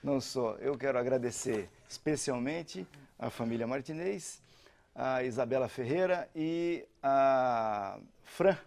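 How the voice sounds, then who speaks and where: Male TV host, gravelly, anecdotal and chatty. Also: medium pitch, a little slow, a little mellow. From Curitiba, Brazil. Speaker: TV talk show host, lawyer. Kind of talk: talk show hosting. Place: TV studio.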